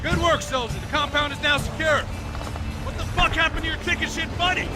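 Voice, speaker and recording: Bullying combat sergeant: extremely high-pitched bark, profane orders, clear music behind, war game. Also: male voice, fairly even intonation, fairly quick. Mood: barking, angry.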